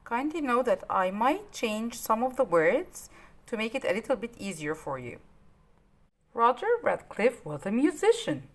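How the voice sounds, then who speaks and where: Slow, high-pitched woman reading a children's story. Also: sing-song. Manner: simple, child-directed delivery with dramatic emphasis. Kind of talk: expressive children's story read-aloud.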